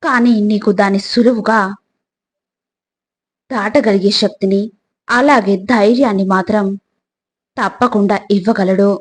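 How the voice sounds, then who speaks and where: Woman doing motivational quote voiceover, really slow and measured, normal pitch, short careful phrases. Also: steady tone, breathy edge. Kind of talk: scripted motivational narration.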